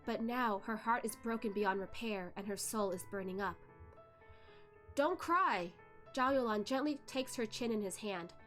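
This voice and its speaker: A woman narrating a web novel for a read-along, expressive and careful with a dramatic delivery. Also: medium pitch, moderate pace, few pauses, faint music behind.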